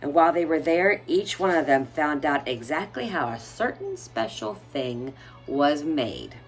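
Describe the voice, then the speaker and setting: A woman reading a children's storybook aloud expressively, like a teacher, with soft music behind her. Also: low voice, very animated, a little slow.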